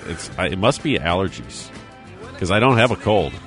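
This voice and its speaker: American guy hosting talk radio, really low hoarse voice, bit of music behind. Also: big pitch swings, moderate pace, a bit bright. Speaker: radio host. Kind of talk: casual news talk radio.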